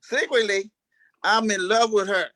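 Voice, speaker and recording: A male interview guest with a high voice and very little room echo. He talks casually, starting and stopping, and repeats phrases for emphasis. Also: fairly even intonation, unhurried, a bit bright. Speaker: stage actor, interview guest. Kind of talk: casual chat.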